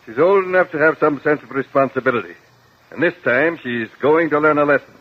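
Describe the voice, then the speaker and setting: An actor playing a stern, stubborn judge with an emphatic, brisk manner in a 1950s radio drama. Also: male voice, fairly high pitch, lively intonation. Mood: determined. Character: stern, stubborn judge, quick to get worked up. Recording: muffled 1950 radio broadcast.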